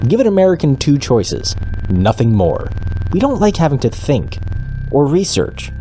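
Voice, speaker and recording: A man's voice: a little high, huge pitch swings, normal speed, warm tone, a little hiss or hum, rough recording. A man playing a smug, cynical news co-anchor in a satirical newscast, needling with flippant, dry sarcasm. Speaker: podcast news co-presenter. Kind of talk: satirical fictional newscast banter.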